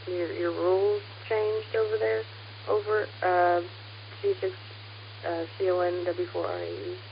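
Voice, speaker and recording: American woman rambling on ham radio, slightly low voice, muffled w/ some hiss. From United States. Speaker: amateur radio operator. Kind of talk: casual radio contact chat.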